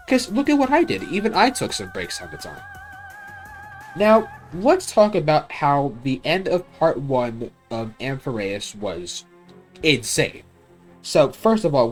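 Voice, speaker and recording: A man delivers an opinionated video game review in a conversational, blunt style with casual swearing. His pitch sits in the middle for a man and swings around in an animated way. Faint music plays behind his voice.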